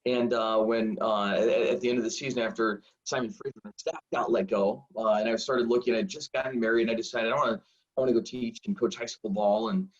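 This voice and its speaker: A man in his forties, a high school football coach, telling stories in a rambling, self-deprecating way full of fillers. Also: fairly even intonation, fairly quick. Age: 40s. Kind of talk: casual storytelling interview. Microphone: video call. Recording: dull lo-fi video call audio.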